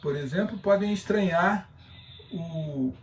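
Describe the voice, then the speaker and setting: A man with a Brazilian accent giving an explanatory class, speaking haltingly as he searches for words and reads loosely from notes. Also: high-pitched. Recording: distant from the microphone.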